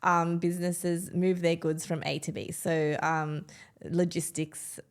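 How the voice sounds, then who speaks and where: A woman with an Australian accent, a guest on a podcast, talking casually and warmly and laughing often between fillers. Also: fairly low pitch, level tone, measured pace, smooth. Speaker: guest, business owner. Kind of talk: casual interview chat.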